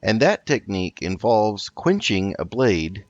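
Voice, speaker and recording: A man with a fairly deep voice hosts a roleplay let's play. He speaks in a measured, halting way, narrating as he goes, with faint music underneath. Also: big pitch swings, no room sound, muffled recording. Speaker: gaming video host.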